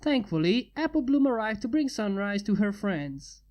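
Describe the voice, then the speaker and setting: A woman reading fan fiction aloud in a steady storybook narration. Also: mid-range voice, normal speed. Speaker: fanfiction reader.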